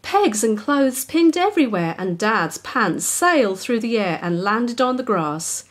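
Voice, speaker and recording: A woman's voice: a little high, sing-song, a little slow. A woman narrating a children's story expressively as its author.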